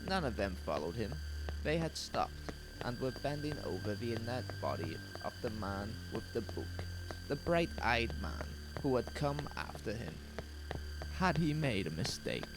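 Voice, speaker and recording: A man's voice: fairly low pitch, huge pitch swings, measured pace, over music, poor audio. A man narrating a scripted horror story in a grim, dramatic manner.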